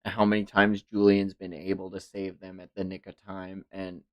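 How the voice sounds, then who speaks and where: A man's voice: low-pitched, monotone, moderate pace, highs cut off. A man streaming a comic read-along, narrating dramatically and reacting in casual, profane language.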